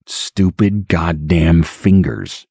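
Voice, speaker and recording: A man voicing a cruel, foul-mouthed baby who speaks slowly in a deep grown man's voice. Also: animated, darkish tone, slightly rough, dry recording, clean studio sound, exaggerated voice. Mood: menacing. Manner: slow, sneering, cruel.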